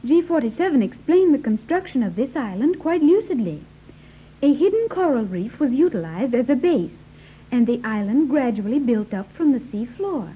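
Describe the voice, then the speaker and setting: A woman's voice: high-pitched, husky, heavily acted. A woman playing Joan, a precocious, formal young girl raised by scientists, in a 1930s radio serial. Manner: precise, formal diction. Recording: muffled, tinny 1930s radio recording.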